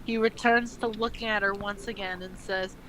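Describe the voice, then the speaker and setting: A woman's voice: medium pitch, fairly even intonation, moderate pace, sharp tone, poor audio. A woman doing a comedic dramatic reading with crude, mocking banter. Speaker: co-reader and commentator.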